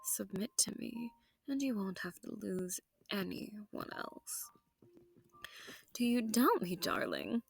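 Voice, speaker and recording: A woman playing a centuries-old, sadistic and possessive female vampire in an intimate audio roleplay, silky and taunting, slipping into breathy whispers. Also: mid-range voice, slow pace, no room sound, exaggerated voice. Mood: coaxing, seductive. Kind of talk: intimate scripted audio roleplay addressed to the listener.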